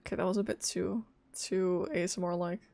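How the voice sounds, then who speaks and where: A woman's voice: fairly low pitch, steady tone, normal speed, gravelly, darkish tone. A woman streaming a game, giving casual, exclamatory reactions to the story. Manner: reactive, blurts short exclamations. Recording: game music behind.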